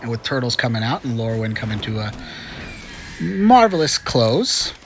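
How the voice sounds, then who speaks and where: A man's voice: medium pitch, sing-song, moderate pace, slight background noise. A chatty, upbeat man hosting casual gameplay commentary with frequent fillers, a little congested from a cold. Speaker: gaming channel host.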